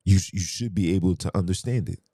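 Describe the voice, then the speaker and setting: A deep, mellow-toned man holds forth in a heated podcast monologue. He argues hard, firing rhetorical questions and hammering points home with repetition. His voice sits close and dry, with no room sound around it. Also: quick pace, no room sound. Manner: argumentative, rhetorical questions, emphatic. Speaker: podcast host.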